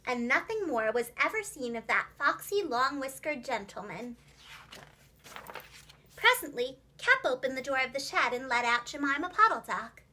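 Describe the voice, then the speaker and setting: A woman narrating a children's storybook in an expressive, clearly enunciated storyteller's manner for young listeners. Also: high voice, moderate pace. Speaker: preschool teacher and children's storyteller.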